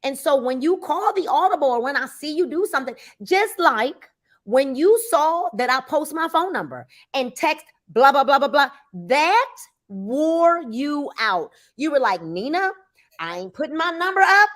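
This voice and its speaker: A woman in her fifties with a high voice tells lively stories in casual, colloquial speech. She acts out the back-and-forth of conversations as she goes. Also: a little slow. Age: 50s. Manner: animated storyteller, acts out dialogue, colloquial. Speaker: network marketing mentor. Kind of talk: casual chat.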